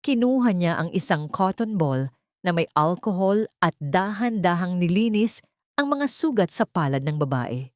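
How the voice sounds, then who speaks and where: Slightly low-voiced woman narrating romantic drama. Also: very animated, no room sound, studio quality. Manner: solemn, measured storytelling.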